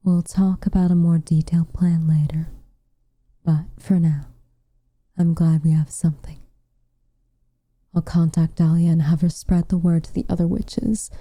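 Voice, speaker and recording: Lady doing a soft breathy witch for asmr, very dark mellow voice, slow, protective n a bit teasing. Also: lowish voice, level tone, dry recording. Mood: tender, concerned. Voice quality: soft, breathy, near-whispered. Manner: gentle, measured, quietly teasing. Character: protective, tender tsundere witch with a dry teasing streak. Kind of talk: intimate ASMR roleplay, scripted character acting. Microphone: close studio mic, dry.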